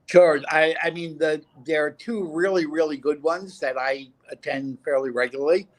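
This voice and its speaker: A man with a fairly high voice answers confidently as a film industry panelist. He gives practical advice with a few fillers. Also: fairly even intonation, normal speed.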